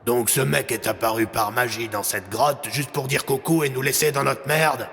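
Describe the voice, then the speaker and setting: A man's voice: medium pitch, steady tone, moderate pace, fairly bright tone, in a large hall, a little hiss or hum, natural voice. A man voicing a coarse, indignant soldier in an audio story.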